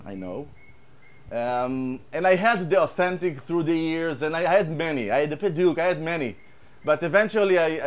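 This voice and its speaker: A man with an Israeli accent chats about his guitar in a casual, halting way, repeating words and filling pauses. His voice sits a little high. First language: Hebrew. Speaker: guitar tech. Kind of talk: casual show-and-tell chat.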